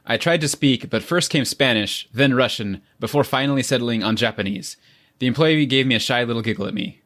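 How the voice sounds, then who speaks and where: Bright, quick-talking man. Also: medium pitch. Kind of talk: casual anecdotal chat.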